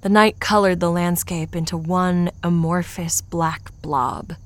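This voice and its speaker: An actress playing a beleaguered, earnest podcast investigator in a first-person audio drama. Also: female voice, on the low side, fairly even intonation, measured pace, no echo, close to an everyday voice. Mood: wry, bleak narration.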